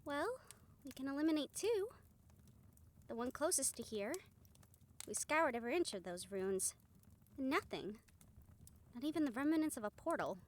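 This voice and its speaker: A woman acting a friendly, supportive young woman in an audio roleplay, speaking in a gentle, earnest manner. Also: high-pitched, animated, normal speed, pauses often.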